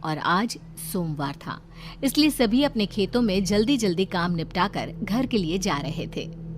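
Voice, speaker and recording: A woman's voice: medium pitch, very animated, unhurried, slight background noise. A woman giving a scripted narration of a story.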